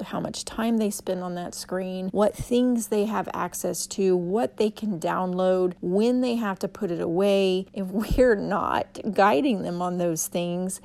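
A woman hosting a solo podcast, her voice raspy from a sore throat, giving earnest, emphatic advice. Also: on the low side, warm tone. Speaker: podcast host.

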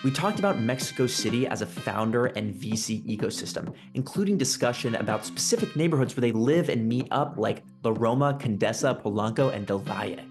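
Male podcast host, scripted intro, measured clear read, mid pitch, music playing underneath. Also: lively intonation.

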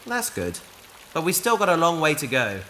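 A woman playing a patient, wry adventurer in a sci-fi audio drama, dryly and gently correcting an AI companion. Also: low-pitched, sing-song, normal speed, slight background noise. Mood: gentle, reassuring.

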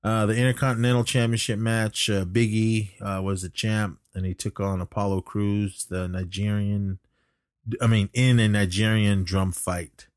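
A man's voice: lowish voice, little pitch movement, normal speed, clean tone, dry recording, studio quality. A middle-aged man co-hosting a podcast in casual chat, rambling and good-humoured, given to chuckling. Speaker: podcast co-host. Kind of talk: casual chat reviewing wrestling.

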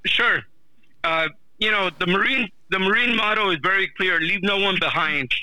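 A man's voice: high-pitched, normal speed, bright, forceful tone, dry recording, poor audio. A man giving rallying closing remarks as a guest on a discussion. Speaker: interview guest.

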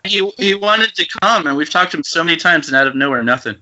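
A man's voice: on the high side, lively intonation, fast, bright, forceful tone. A man chatting casually with friends on a group internet call. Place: at home on a group video call. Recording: muffled, noisy internet call.

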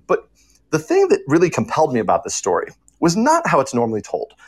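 Animated man explaining on a panel. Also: medium pitch. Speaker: podcast panelist, fiction writer.

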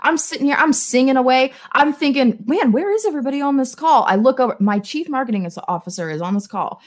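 A young adult woman, a podcast guest, chatting casually and telling stories in an animated, theatrical, self-mocking way. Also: sits high, fast. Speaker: podcast guest, tech marketer. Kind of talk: casual conversational storytelling.

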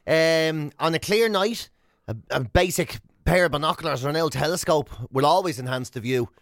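A man's voice: a little high, moderate pace, dry recording, studio quality. An Irish man co-hosting a radio show with casual comedic banter, rambling, self-deprecating and free with swearing.

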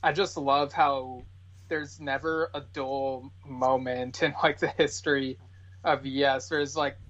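A man's voice: mid-range voice, fairly even intonation, takes it slowly, fairly bright tone. A man speaking as a podcast guest in casual chat, with earnest fan enthusiasm, recorded with dull, lo-fi sound.

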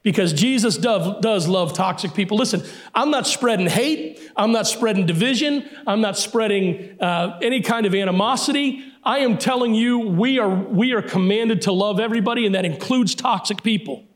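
A middle-aged male pastor preaching a sermon emphatically, repeating his key phrases, his voice sometimes hoarse. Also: high-pitched, moderate pace, few pauses.

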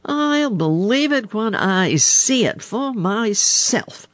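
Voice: mid-range voice, sing-song, measured pace, slightly rough, no echo, full character voice. A reader voicing a sceptical male crow in a storybook, blunt and snappish.

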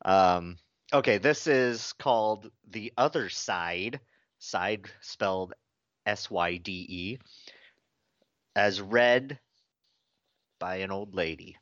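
A man's voice: fairly low pitch, slow pace, pauses often, a bit bright, no echo, muffled recording. A man co-hosting a casual comedy podcast, laughing often and swearing freely. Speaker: podcast co-host.

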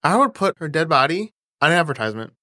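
Animated, low-voiced woman joking about films. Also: quick pace, no echo, studio quality. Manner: animated, jokey, slangy. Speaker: podcast co-host. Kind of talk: casual joking film chat.

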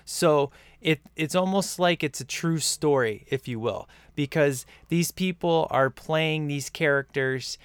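A man's voice: a little high, unhurried, hardly any pauses, clean tone, no room sound. Chatty man reviewing a movie. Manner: rambling, enthusiastic, many fillers and restarts. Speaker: podcast co-host reviewing a film. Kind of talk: casual movie-review chat.

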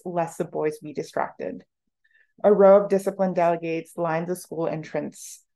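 Mellow, low-pitched woman reading fiction. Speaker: author reading from own novel. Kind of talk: literary audiobook-style reading.

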